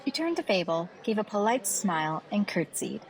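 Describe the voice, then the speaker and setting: A woman's voice: medium pitch, sing-song, moderate pace, low-quality recording. A woman reading scripted fantasy story narration as the narrator of an audio series. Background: festival crowd ambience.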